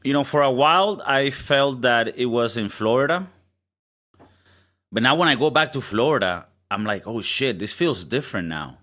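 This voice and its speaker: A man's voice: medium pitch, expressive, moderate pace, pushed and bright. Spanish-accented man rambling in casual chat. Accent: Spanish-speaking. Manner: rambling, stammering restarts, swearing, mimics other people. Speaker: podcast co-host.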